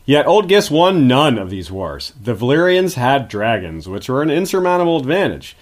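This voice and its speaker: A man's voice: medium pitch, big pitch swings, moderate pace. A man speaking as a guest history expert, giving scripted, articulate explanations in an informative lecturing manner.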